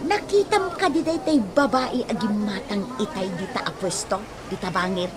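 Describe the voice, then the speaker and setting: A woman's voice: mid-range voice, normal speed, a crowd in the background, natural voice. An actress playing a teasing, gossipy, playful village woman in a radio comedy drama. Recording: noisy radio drama, bird ambience.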